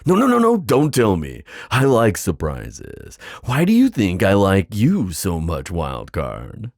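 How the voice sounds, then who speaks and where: A deep-voiced, playful giant sea god played by a man for an ASMR roleplay. He lingers over his words in a slow, theatrical, teasing way, and his pitch swings widely as he flirts. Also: huge pitch swings, a little mellow, no echo, heavily acted. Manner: teasing, theatrical, drawn-out phrasing.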